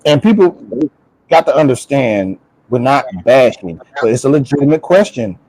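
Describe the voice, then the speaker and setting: A man's voice: mid-range voice, sing-song, moderate pace, a little mellow, poor audio. An American man in a casual, opinionated group discussion, speaking emphatically in colloquial language and repeating phrases for stress. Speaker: panelist on a talk show.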